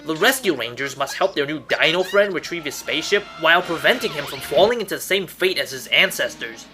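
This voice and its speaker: Animated higher-pitched man narrating a countdown. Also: brisk. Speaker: host of a top ten list show. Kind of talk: scripted list narration. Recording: music behind the voice. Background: music.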